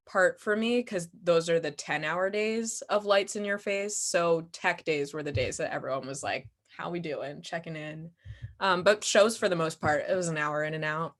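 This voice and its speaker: A young woman with a slightly low voice speaks as a guest artist at a casual Q&A. She is candid and self-deprecating, and laughter often slips into what she says. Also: a bit bright. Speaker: guest playwright and performer. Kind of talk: casual audience Q&A.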